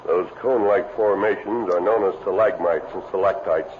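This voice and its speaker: An actor playing a calm, resolute masked western lawman in a 1950s radio adventure, speaking in formal, precise sentences. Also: sits low, moderate pace, lightly acted. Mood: calm, explanatory. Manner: formal, precise, explanatory diction. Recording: muffled, noisy old radio broadcast recording.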